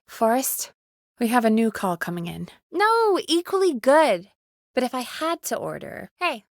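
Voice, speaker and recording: Expressive, high-voiced radio producer. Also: female voice, dry recording, studio quality. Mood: casual, musing. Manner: earnest, expressive, exasperated at times. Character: dedicated, easily exasperated woman producer at a radio station. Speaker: voice actor as radio show producer. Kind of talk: video game voice acting, natural dialogue.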